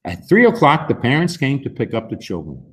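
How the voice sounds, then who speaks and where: A man in his sixties with a husky voice, reading a picture book aloud to young children in an expressive, unhurried way. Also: medium pitch, a little hiss or hum. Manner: expressive, unhurried, with emphatic swings. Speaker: story time host reading aloud. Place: school library, indoors.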